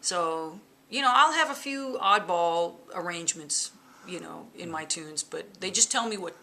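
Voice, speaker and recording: Chatty woman bassist with a gravelly edge. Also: normal speed. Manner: lively, chatty, laughs often, many fillers. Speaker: bass player and songwriter. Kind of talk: casual interview chat. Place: living room at home. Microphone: camera in front, close framing.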